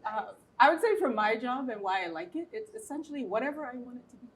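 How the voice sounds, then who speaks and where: A woman, a podcast guest telling a relaxed, easygoing story with light laughter in her talk. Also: fairly high pitch, fast talker, a crowd in the background. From Barbados. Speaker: podcast guest, water engineer. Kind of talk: relaxed conversational anecdote.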